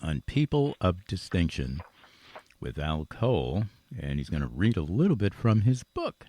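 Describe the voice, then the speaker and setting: A man's voice: low-pitched, wildly swooping pitch, unhurried, dark tone. An American man hosting a promotional radio interview, with a folksy, salesman-like way of talking. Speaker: radio show host.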